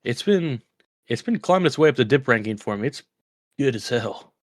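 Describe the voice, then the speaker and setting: A man's voice: mid-range voice, expressive, normal speed, no echo. Male co-host, chatty and rambling, dry studio sound. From West Virginia. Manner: rambling, enthusiastic food talk with restarts. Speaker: podcast co-host. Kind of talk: casual chat.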